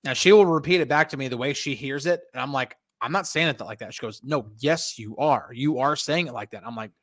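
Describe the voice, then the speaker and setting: Man from northern New Jersey, mid-pitched, dry close sound, rambling casual podcast co-host. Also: a little fast, fairly bright tone, dry recording. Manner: rambling, lots of "like" fillers. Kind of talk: casual sports podcast chat.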